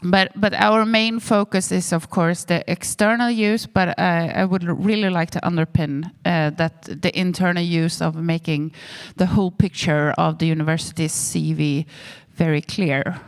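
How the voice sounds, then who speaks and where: A woman's voice: on the low side, fairly even intonation, smooth. A woman speaking hesitantly as a university panelist at a conference. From Sweden. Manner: hesitant, halting phrasing. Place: conference hall.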